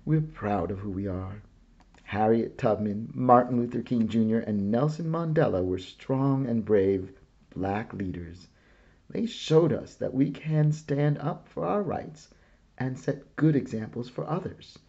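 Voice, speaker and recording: A gentle, proud mother from a picture book, read by a man. She speaks slowly and reassuringly, in a low, husky voice that rises and falls with feeling. The sound is slightly muffled. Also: lively intonation.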